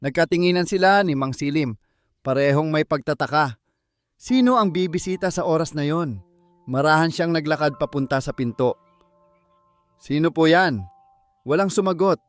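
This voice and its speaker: A man's voice: on the high side, lively intonation, a little fast, soft background music. A man narrating a dramatic scripted audiobook story.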